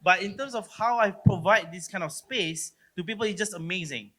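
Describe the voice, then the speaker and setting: A man's voice: high-pitched, moderate pace, sharp tone. A man with a Singaporean accent, speaking casually as a panelist at a conference, explaining things loosely and often tagging on questions. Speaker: panelist, platform co-founder.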